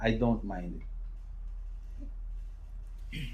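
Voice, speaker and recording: Man, middle-aged, loud voice, school principal, easygoing interview answers, restarts his sentences. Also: on the low side, extremely slow, highs cut off, slight background noise. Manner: relaxed, rambling with restarts.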